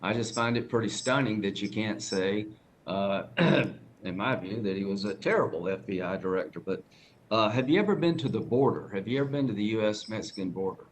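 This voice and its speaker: A man's voice: lowish voice, animated, brisk, a little hiss or hum. A man with a Southern accent, a senator pressing a nominee with folksy, direct questions at a hearing.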